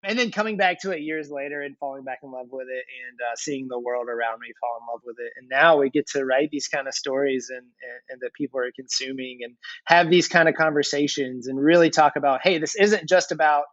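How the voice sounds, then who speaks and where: A man's voice: mid-range voice, brisk, few pauses, fairly bright tone, no room sound. A man hosting a podcast interview in a casual, chatty way. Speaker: podcast host. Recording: dry, slightly dull audio.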